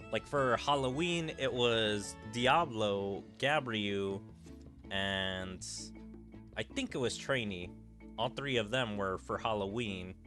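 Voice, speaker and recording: A male streamer talks through a game in loose, rambling fashion, full of little fillers. His pitch rises and falls a great deal as he goes. Soft game music plays underneath. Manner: chatty, rambling, with many fillers. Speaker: gaming streamer. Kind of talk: casual commentary while reading a game aloud. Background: faint game music.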